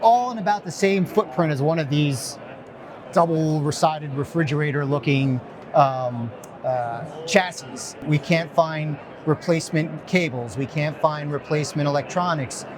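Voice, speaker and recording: A man calmly explaining technical equipment with frequent ums and uhs. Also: a little high, crowd noise. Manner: measured, filler-heavy explanation. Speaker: technical expert.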